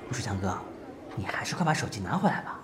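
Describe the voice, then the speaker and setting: A man's voice: fairly low pitch, big pitch swings, fast talker, over loud music. An actor playing a chatty, wary junior male assistant, teasing and anxious, in an audio drama. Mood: worried. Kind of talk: Chinese audio drama acting.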